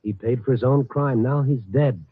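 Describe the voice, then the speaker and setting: Actor as a husky, world-weary newspaper columnist. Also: male voice, medium pitch, fairly even intonation, normal speed, no room sound, natural voice. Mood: reassuring, insistent. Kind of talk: 1940s radio drama acting. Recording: tinny, narrow-band vintage radio recording.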